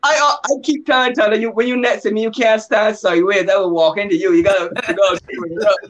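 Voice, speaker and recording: A man's voice: high voice, fairly even intonation, fast, fairly bright tone. A man from Barbados with a Barbadian accent, co-hosting a casual chat and teasing with a laugh.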